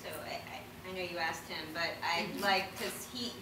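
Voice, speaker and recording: Woman, middle-aged, parent on a panel. Lower voice, reflective talk, heard from afar with background noise. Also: fairly even intonation, normal speed. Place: panel discussion in a room. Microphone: distant microphone. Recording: very echoey, distant, noisy room.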